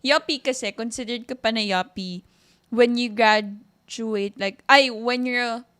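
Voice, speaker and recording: A woman's voice: a little high, measured pace, a bit bright. A young woman in her twenties with a Filipino accent, co-hosting a podcast and chatting in a teasing, easy way. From Philippines. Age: 20s. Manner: chatty, teasing, mixes English and Tagalog. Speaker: podcast co-host. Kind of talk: casual chat.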